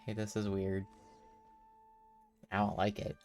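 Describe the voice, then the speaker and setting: A woman's voice: very deep voice, monotone, a little slow, a little mellow. A woman game streamer making casual, wry, chatty asides over gameplay. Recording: game music behind.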